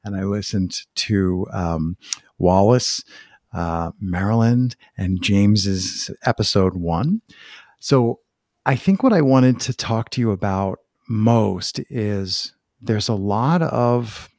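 A man's voice: on the low side, slow pace, darkish tone, no room sound. Casual male podcast host, close dry sound. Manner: informal, hedging, thinks aloud. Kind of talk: casual interview chat.

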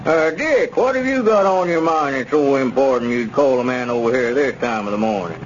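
A man's voice: medium pitch, animated, moderate pace, lightly acted. An actor playing a stern small-town man in a 1930s radio serial, questioning a suspect firmly in plain-spoken words. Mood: curious. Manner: firm, accusing, plain-spoken. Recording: muffled, noisy 1930s radio recording.

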